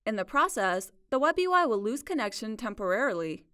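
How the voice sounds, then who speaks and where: A woman narrating a scripted software tutorial in a measured, instructional way. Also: fairly high pitch, very animated, no room sound, clean studio sound. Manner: clear, measured instructional delivery. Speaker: support video narrator.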